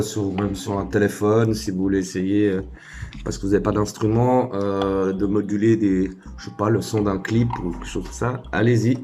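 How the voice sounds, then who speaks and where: A man's voice: on the low side, dark tone. A man giving a casual hands-on tutorial, informal and hesitant, thinking aloud as he works. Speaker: DIY tutorial maker.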